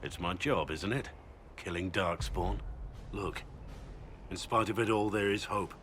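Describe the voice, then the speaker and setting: Gruff deep-voiced fighter guy for a fantasy game, serious and determined, noisy background. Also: a little slow, a bit bright. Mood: resolute. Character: gruff, resolute male warrior, grim but hopeful. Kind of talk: video game dialogue acting.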